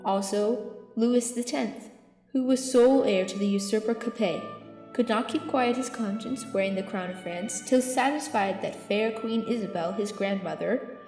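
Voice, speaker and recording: A woman's voice: mid-range voice, normal speed, a little mellow, natural voice. A woman acting a learned, earnest archbishop in an amateur Shakespeare audio drama, with formal, declamatory delivery. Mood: earnest, lecturing. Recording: echoey hall, music behind.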